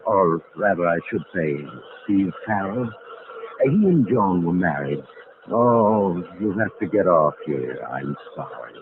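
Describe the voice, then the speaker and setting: Through a noisy, tinny old radio recording comes suave and sinister man of mystery. His lowish voice is coolly mocking, in the theatrical manner of 1940s radio drama. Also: lively intonation, slow, full character voice. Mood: slyly genial.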